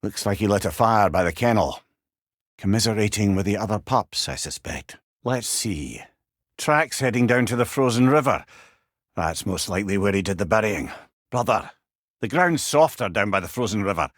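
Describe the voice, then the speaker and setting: A man playing a wise, witty older companion in a video game, with a Scottish accent and a wry, avuncular, expressive manner. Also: fairly low pitch, moderate pace, no echo, studio quality. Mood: puzzled, searching. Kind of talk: video game character dialogue.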